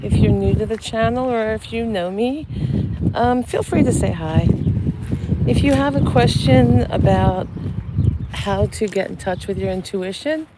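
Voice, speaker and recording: Slow, soft-spoken woman hosting an outdoor walking live stream, phone mic, birds and traffic clearly heard behind her. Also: mid-range voice. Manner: soft-spoken, gentle and soothing. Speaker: psychic medium and channel host. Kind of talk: casual live-stream chat while walking. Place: outdoors in a cemetery among cherry trees. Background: birds and passing traffic.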